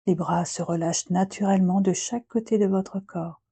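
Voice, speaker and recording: A woman guiding a yoga nidra relaxation in a soft, breathy voice, speaking gently in short phrases with long, unhurried pauses. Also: mid-range voice, steady tone, no echo. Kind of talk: guided relaxation meditation, slow and soothing.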